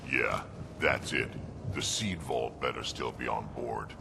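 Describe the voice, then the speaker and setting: An actor voicing a gruff, blunt alien warrior man with a deep gravelly voice in a video game. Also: unhurried, noisy background, exaggerated voice. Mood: scornful. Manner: blunt, growling threats.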